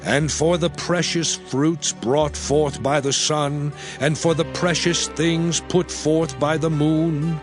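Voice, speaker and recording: An actor playing a solemn elder prophet, earnest and declamatory in a dramatized scripture reading. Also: male voice, fairly high pitch, steady tone, takes it slowly, hardly any pauses. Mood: reverent blessing. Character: Moses, solemn elder prophet blessing his people. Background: faint background music.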